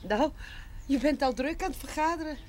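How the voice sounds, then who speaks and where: An actress playing a practical, forthright, kindly woman in a naturalistic seventies television drama, brisk and gently teasing. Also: sits high, slight background noise, natural voice. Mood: encouraging. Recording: 1970s television soundtrack with music.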